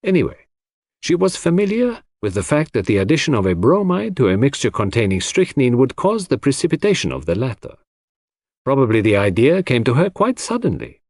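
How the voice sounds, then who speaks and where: A man's voice: mid-range voice, a little mellow, no echo, studio quality. A man voicing a shrewd, self-assured foreign detective who explains in a theatrical, didactic way in an audiobook. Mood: amused, certain. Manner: theatrical, didactic, sprinkles French phrases.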